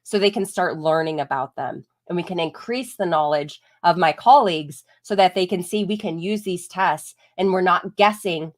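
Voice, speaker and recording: A woman's voice: on the low side, moderate pace, few pauses. Congested woman veterinarian chatting live. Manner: chatty, explanatory, frequent "like" and "right?". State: congested from a chest cold. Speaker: holistic veterinarian. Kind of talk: live-streamed educational talk.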